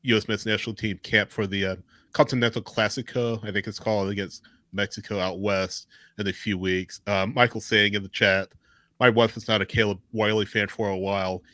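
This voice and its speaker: Male podcast host, fairly low pitch, casual, agreeable, somewhat halting delivery, dry recording. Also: flat delivery, a bit bright, no echo. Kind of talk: casual live sports chat.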